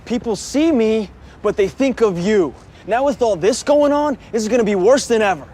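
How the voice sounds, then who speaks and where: An actor playing a resentful young adult son, pleading in an aggrieved way in a naturalistic film drama. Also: male voice, high voice, expressive, normal speed, dark tone. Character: resentful adult son living in his father's shadow. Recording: film audio with faint background noise.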